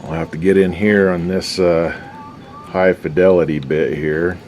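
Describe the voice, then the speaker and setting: A man's voice: low-pitched, steady tone, a little slow, darkish tone, a little hiss or hum. A man giving casual, unscripted commentary as a hobbyist repairer, talking in a folksy, slangy mutter while he works. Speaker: hobbyist radio restorer and video host.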